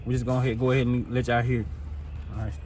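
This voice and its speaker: A man's voice: mid-range voice, level tone, fast talker, darkish tone. A man hosting a product video, talking casually and informally to his viewers in a laid-back way. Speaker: host of car audio product video. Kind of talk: casual product demo talk. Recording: clear background noise.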